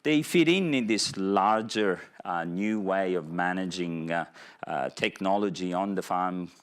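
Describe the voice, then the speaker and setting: A man from Italy with an Italian accent, a university professor giving a public lecture in a halting way, pausing often to find his words. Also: sits low, smooth. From Italy (Naples). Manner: halting, searches for words with many pauses.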